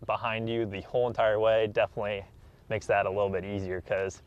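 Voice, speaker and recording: A man's voice: on the low side, level tone, fast talker, no room sound, dull audio. A young American man in his twenties, an athlete giving a casual interview in an earnest, modest way. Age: 20s. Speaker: track athlete being interviewed.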